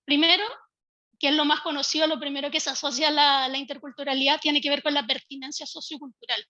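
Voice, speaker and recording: A woman giving a measured, explanatory talk as a speaker from a public early-education institution. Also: high-pitched, little pitch movement, pushed and bright, no echo, dull audio. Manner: measured, explanatory, occasional restarts. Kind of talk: online panel talk.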